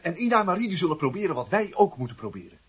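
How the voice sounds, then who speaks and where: An actor playing an eager, scheming schoolboy with a husky voice, urgent and conspiratorial, in a radio drama. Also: male voice, sits high, sing-song, very fast, heavily acted.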